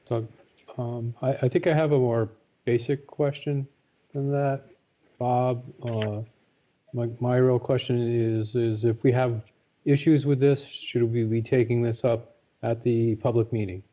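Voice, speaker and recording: A man's voice: medium pitch, steady tone, unhurried, dark tone. A man in his sixties raising a question as a committee member in a meeting over a video call. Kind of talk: meeting discussion. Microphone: earbuds on video call. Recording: dull lo-fi video call audio.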